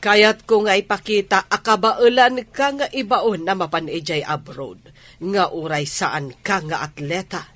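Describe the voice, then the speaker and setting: A woman's voice: mid-range voice, a little slow, fairly bright tone, no room sound, close to an everyday voice. Casting: actress as well-to-do mother and boss, mid-range voice, audio drama dialogue. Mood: firm. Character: well-off mother and boss sending her child abroad.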